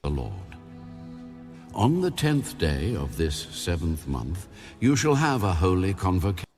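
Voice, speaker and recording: A man narrating scripture in a measured, solemn, deliberate way, like an audiobook of the Bible. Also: deep voice, wildly swooping pitch, a little mellow. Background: background music.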